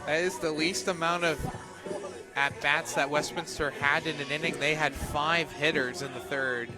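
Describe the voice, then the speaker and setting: Man, slightly high voice, radio play-by-play commentator, softball, outdoor broadcast. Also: steady tone, normal speed, music in the background. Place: outdoors at a ballfield.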